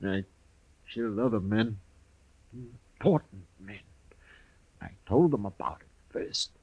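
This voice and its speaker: An actor playing a crazed, dying little killer in a 1950s radio western, confessing in halting anguish. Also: male voice, on the low side, expressive, husky, muffled recording, full character voice. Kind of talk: 1950s radio drama acting. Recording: old 1950s radio recording.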